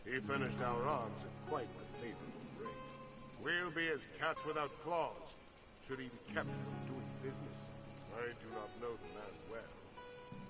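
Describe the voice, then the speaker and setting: An actor playing a dutiful medieval military officer in a fantasy video game, speaking in measured, formal, archaic phrasing. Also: male voice, medium pitch, natural voice. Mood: grave, formal. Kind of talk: scripted fantasy game dialogue. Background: game music.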